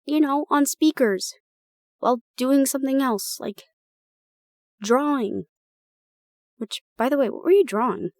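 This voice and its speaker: A young woman playing a protective roommate in an improvised audio roleplay, brisk and bossy, then gently soothing. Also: high-pitched, no room sound, studio quality. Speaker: caring roommate.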